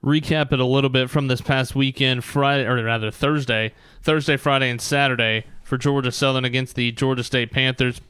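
A man's voice: medium pitch, fairly even intonation, fairly quick, hardly any pauses, fairly bright tone, clean tone, dry recording. A man hosting a sports talk show, delivering recaps fluently in short announcer-like phrases.

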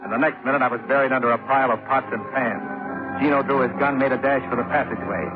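A man's voice: mid-range voice, steady tone, fast, narrow, tinny audio, natural voice. Thin 1950s radio sound, music behind, actor as hard-boiled American male investigator, clipped, wry narration. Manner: clipped, wry, matter-of-fact.

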